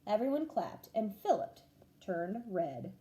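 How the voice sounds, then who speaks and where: A woman teacher reading a children's storybook aloud in an expressive, clearly paced way, acting out the dialogue. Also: mid-range voice, slow pace, slight background noise.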